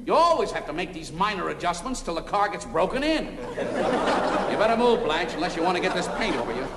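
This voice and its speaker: An American actor playing a cantankerous, exasperated husband in an old-time radio comedy, bickering with sarcasm and indignation. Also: male voice, sits high, very animated, a little fast, crowd noise. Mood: grumbling, defensive. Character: cantankerous, exasperated working-class husband, quick to blow up. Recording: old noisy radio recording, echoey hall, studio audience.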